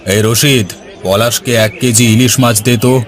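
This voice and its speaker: A man's voice: mid-range voice, fairly even intonation, a little slow, lightly acted. Man voicing a commanding village headman. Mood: businesslike. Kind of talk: cartoon dialogue.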